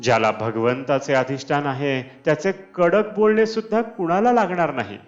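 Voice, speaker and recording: A man reading a scripted spiritual discourse in a measured, deliberate manner. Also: on the high side. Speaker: reader of devotional discourses. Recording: dull lo-fi, Indian classical music behind. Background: Indian classical instrumental music.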